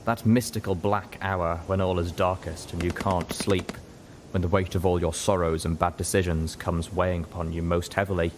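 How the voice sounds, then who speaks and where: A man with a very low voice narrates a first-person tale. His reading is scripted and dramatic, like an audiobook. There is clear noise behind him, including gunfire effects. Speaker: narrator, story reader.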